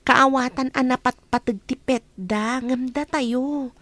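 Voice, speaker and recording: A woman's voice: sits high, steady tone, unhurried, fairly bright tone. A woman playing a humble, earnest young housemaid in a radio drama, speaking respectfully and plainly. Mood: puzzled, plaintive. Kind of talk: Ilocano radio drama acting.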